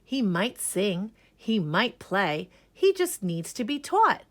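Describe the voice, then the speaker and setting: A woman's voice: medium pitch, very animated, takes it slowly. A woman voicing an earnest, confident male band leader from a children's storybook, speaking emphatically. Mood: emphatic.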